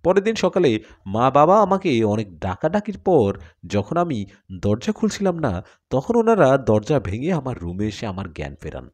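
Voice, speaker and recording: Male horror storyteller, mid-range pitch, very animated intonation. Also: moderate pace. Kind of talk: dramatic first-person horror story narration.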